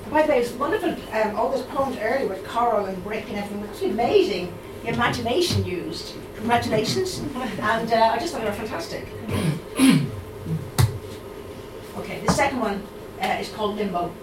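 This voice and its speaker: A middle-aged Irish woman with a Dublin accent, giving a live poetry reading, reciting in a measured way and chatting hesitantly with fillers between poems. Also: fairly high pitch, animated, noisy background. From Ireland. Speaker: poet. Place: live reading event in a room. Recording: roomy, distant mic, audible room ambience.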